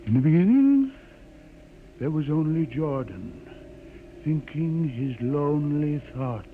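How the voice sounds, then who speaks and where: A man's voice: fairly high pitch, sing-song, slow pace, a little hiss or hum. An actor playing a pompous old holy man, keeper of sacred lore, with solemn oratorical delivery in 1950s radio drama style. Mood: reverent chanting. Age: older. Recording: tinny 1950 radio recording, music behind.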